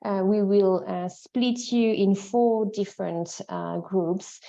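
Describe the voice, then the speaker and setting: A woman's voice: mid-range voice, fairly even intonation, slow, creaky, dark tone. A woman facilitating an online meeting, politely explaining the next steps over an earphone mic. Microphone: wired earphone mic on a video call. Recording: dull lo-fi call audio.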